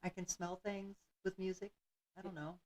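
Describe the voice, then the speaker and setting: A woman's voice: medium pitch, little pitch movement, normal speed, darkish tone, rough recording. A woman in her fifties co-hosting a casual livestream, mostly chiming in with brief, dry quips. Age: 50s. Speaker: livestream co-host.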